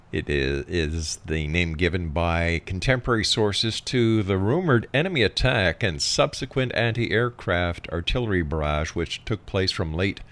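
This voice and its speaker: Male radio host, fairly deep register, emphatic presenter delivery in short phrases. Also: fairly bright tone. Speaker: radio talk show host. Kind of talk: radio presenting, announcing and reading notes.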